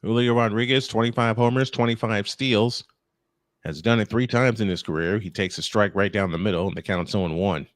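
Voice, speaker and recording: A man's voice: lowish voice, steady tone, fairly quick, no room sound. A man calling a baseball game live as play-by-play host, slipping between commentary and casual chat.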